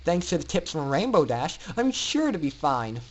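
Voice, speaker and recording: A man doing a teenage girl pony, raised pitch, cocky, teasing, brash, storybook character. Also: big pitch swings, normal speed. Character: brash, confident teenage female flying pony.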